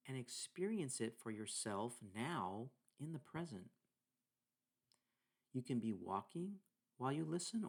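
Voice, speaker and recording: A man leading a guided meditation, speaking deliberately in short phrases with pauses between them. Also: medium pitch, a little mellow. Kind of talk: guided meditation narration with scripture reading.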